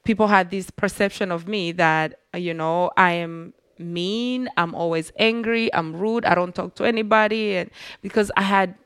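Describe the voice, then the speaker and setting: A woman with a Rwandan accent recounts her own life as an interview guest, her voice sitting at an ordinary middle pitch. She tells it earnestly and reflectively, with lively turns. The sound is dry and close, with no room echo. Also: normal speed, few pauses, smooth. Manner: earnest, reflective, animated storytelling. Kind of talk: personal storytelling in an interview.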